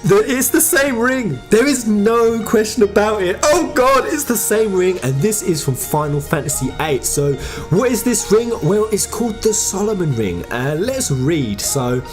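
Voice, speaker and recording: An animated host delivers fan theory commentary with music playing behind. The mid-range voice swings widely in pitch. The delivery is informal, emphatic and full of exclamation. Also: a little slow. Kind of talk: excited fan theory commentary over game footage.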